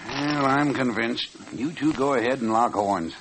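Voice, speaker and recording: Man playing a mid-pitched saloon henchman, faint hiss. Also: unhurried, close to an everyday voice. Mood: matter-of-fact. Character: wary saloon henchman at a poker table. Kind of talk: old-time radio Western acting. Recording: hissy old radio recording.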